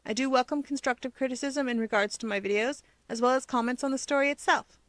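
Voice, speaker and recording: A woman reading fan fiction aloud as an expressive storyteller. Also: high-pitched, quick pace, no echo. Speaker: narrator.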